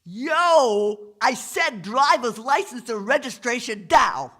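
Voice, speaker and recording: A man acts out a big, cranky cop from a comic story, with a thick New York accent. The voice is high, forceful and stuffed-up, bullying in every phrase. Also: very animated, normal speed, heavily acted. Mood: barking, angry. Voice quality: congested, stuffed-up nose.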